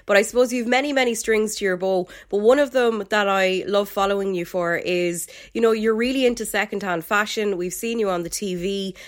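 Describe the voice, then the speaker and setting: A woman's voice: mid-range voice, a little fast, hardly any pauses, no echo. Irish lady host, slightly bunged up, chatty, dry close sound. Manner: chatty and enthusiastic, with filler words. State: slightly croaky from a head cold. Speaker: podcast host. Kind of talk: casual podcast chat.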